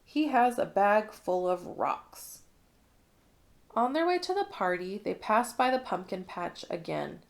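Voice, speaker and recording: A woman's voice: mid-range voice, expressive, a little slow, creaky. Woman reading a children's storybook aloud. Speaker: storybook reader. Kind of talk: expressive children's read-along narration.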